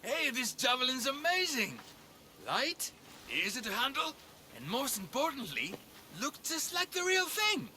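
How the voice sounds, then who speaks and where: A man's voice: extremely high pitch, big pitch swings, measured pace, pushed and bright, a little hiss or hum, exaggerated voice. An actor playing an eager, earnest but anxious young male athlete in a video game. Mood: excited. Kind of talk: video game dialogue acting.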